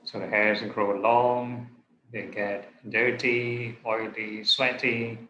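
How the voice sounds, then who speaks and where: A man leads a guided meditation as a monk and teacher, speaking very slowly in short, deliberate phrases. His voice is somewhat low and has a clearly bright edge. It comes through a roomy, echoing recording. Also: little pitch movement, highs cut off. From Singapore. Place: online video call session in a room. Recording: roomy echo, clear background noise.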